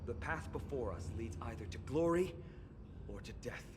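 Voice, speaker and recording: An actor voicing a confident young male companion in a video game, speaking calmly and assuredly. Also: medium pitch, moderate pace, background noise, lightly acted.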